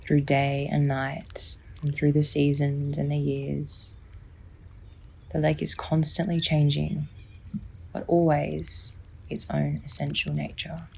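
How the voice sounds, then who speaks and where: A woman softly and soothingly narrating a guided sleep meditation, unhurried, with long pauses and a breathy, near-whispering delivery. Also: low-pitched, completely flat delivery. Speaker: psychologist guiding a sleep meditation.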